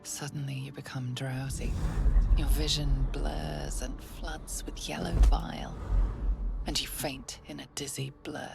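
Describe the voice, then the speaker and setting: An actress playing the grave narrator of a dark fantasy game, telling the story in a hushed, deliberate, ominous way. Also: female voice, a bass voice, little pitch movement, vocal fry, exaggerated voice. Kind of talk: scripted second-person game narration. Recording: music behind the voice.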